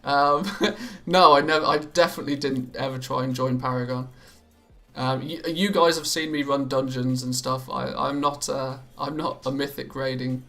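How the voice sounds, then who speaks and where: A British man chats his way through a live gaming stream, his voice gravelly with fry. The tone is bright, and he keeps stumbling and restarting as he answers his viewers. Faint game music plays behind him. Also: mid-range voice, fairly even intonation, normal speed. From Britain. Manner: chatty, reads out viewer comments and answers them; often stammers and restarts, laughs easily. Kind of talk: casual live-stream chat while gaming. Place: home.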